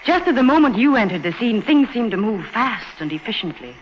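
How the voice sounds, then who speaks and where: A gentle young heroine of vintage radio drama. She is polite, earnest and curious, with very lively intonation, heard through a muffled recording with some noise. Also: fairly high pitch, a little fast. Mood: shy, earnest. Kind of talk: old-time radio drama acting.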